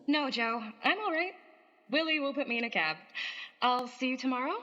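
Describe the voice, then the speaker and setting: An actress playing a warm, loyal young stage actress in a radio play, earnest and sweetly emphatic. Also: female voice, high voice, lively intonation, fairly quick, long reverb tail, highs cut off. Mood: tender.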